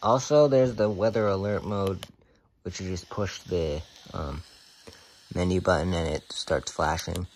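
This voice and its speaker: Low-pitched, laconic man reviewing casually. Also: steady tone, a little slow, darkish tone, slight background noise. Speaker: co-host of a product review channel. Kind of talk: casual unscripted product review chat.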